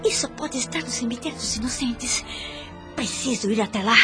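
A woman's voice: on the high side, moderate pace, over music, close to an everyday voice. An actress playing a determined, impassioned young noblewoman in a Brazilian radio drama, with a theatrical, declamatory delivery and a Brazilian accent. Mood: resolute.

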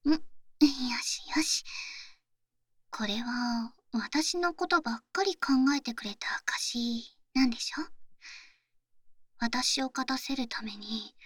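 A woman playing a caring, teasing young athletic girlfriend in an intimate Japanese ASMR voice drama, coaxing and playfully scolding, often slipping into breathy whispers. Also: on the high side, slow, long pauses, a bit bright, no echo. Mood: soothing, doting.

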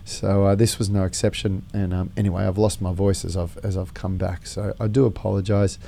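A middle-aged man who has lost his voice hosts a gym podcast, speaking quietly and rambling with many fillers and restarts. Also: low-pitched, little pitch movement, fairly quick, gravelly, slight background noise. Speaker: gym co-founder and podcast host. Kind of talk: casual podcast talk.

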